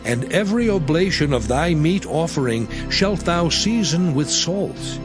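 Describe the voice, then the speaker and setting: A man giving a solemn, measured reading of old scripture text. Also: on the high side. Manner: solemn, measured, archaic wording. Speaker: scripture reader. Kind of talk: dramatized Bible reading. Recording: music playing behind.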